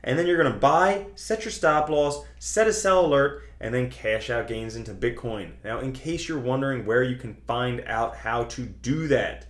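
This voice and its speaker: A man's voice: mid-range voice, moderate pace, few pauses. A man hosting an online video, explaining things to viewers in a chatty, colloquial, emphatic way. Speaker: host of a cryptocurrency trading video channel. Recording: roomy, echoing sound.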